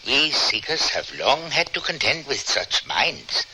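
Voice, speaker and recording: An actor playing a solemn spirit medium doctor leading a seance, speaking slowly and formally in old-time radio drama style. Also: male voice, medium pitch, big pitch swings, bright, forceful tone, a little hiss or hum, heavily acted, poor audio. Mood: solemn, entranced. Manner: slow, incantatory, formal.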